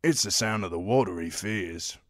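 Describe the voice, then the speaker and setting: A man voicing a blunt, guarded man who speaks flatly and matter-of-factly. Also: low-pitched, moderate pace, no echo, clean studio sound, close to an everyday voice.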